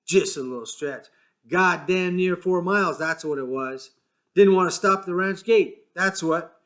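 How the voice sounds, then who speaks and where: A man voicing a small, irritable ranch hand who talks gruffly, swears and sounds fed up. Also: high-pitched, normal speed, a bit bright, voice pushed up. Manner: gruff, exasperated, swearing, rough rural speech.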